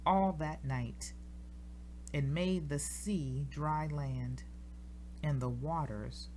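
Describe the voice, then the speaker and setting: Woman, scripture reader, slow measured delivery, solemn phrasing, deliberate pauses, faint noise behind. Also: low-pitched, darkish tone, rough recording. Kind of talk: solemn scripture reading from the King James Bible.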